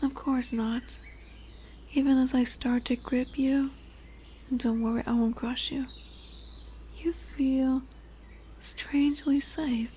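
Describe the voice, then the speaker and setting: A woman's voice: high-pitched, steady tone, slow pace, long pauses, dark tone. A woman voicing a large lamia, a seductive serpent woman who is commanding yet soothing, in a formal, hypnotic, coaxing manner for a roleplay audio drama. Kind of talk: roleplay audio drama with soft whispered passages. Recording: noisy woodland ambience with birdsong. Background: birdsong and forest ambience.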